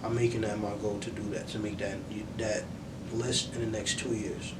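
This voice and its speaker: A man's voice: flat delivery, normal speed, recorded from a distance. A young man answering as a music industry guest in a casual interview, earnest and reflective, circling back over his phrases. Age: young adult. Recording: roomy, off-mic, noisy with low rumble.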